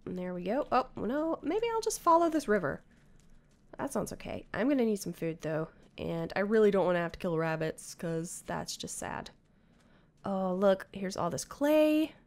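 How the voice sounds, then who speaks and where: A woman in her thirties on a headset mic, chatting casually as she plays a game, muttering to herself and scolding the creatures on screen, her voice going hoarse. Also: medium pitch, big pitch swings, normal speed. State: losing her voice, coughing. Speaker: gamer. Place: home room with bookshelves.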